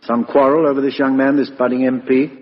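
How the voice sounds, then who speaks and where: A male actor plays a shrewd, no-nonsense English police inspector from a vintage radio play. He talks in a confiding, businesslike way at an average pitch. The sound is dull and reverberant. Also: flat delivery, a little fast, close to an everyday voice. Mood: confiding, suspicious. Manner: businesslike, colloquial, matter-of-fact. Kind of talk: 1950s British radio drama acting.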